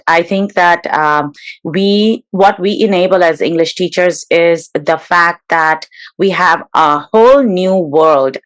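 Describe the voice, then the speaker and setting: A woman hosts a webinar and interviews her guest over a video call. Her voice sits a little low for a woman. She speaks in a measured, slightly formal way, now and then pausing on a filler. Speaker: webinar host.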